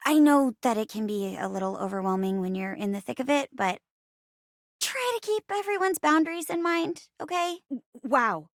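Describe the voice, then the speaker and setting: High, animated, flirty theatre chair. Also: female voice, normal speed, no echo, studio quality. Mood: encouraging, upbeat. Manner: bubbly, theatrical, stammering when flustered. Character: living chair, eager theatre actress, flustered and flirty. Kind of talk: video game character voice acting.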